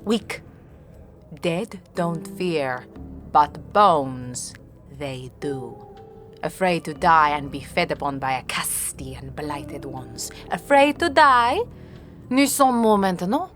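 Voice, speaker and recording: A woman playing a ravenous, menacing ghoul queen in a fantasy audio drama, speaking slowly in broken, repetitive phrases peppered with French. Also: deep voice, lively intonation, a bit bright, soft background music, heavily acted. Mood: scornful, bitter.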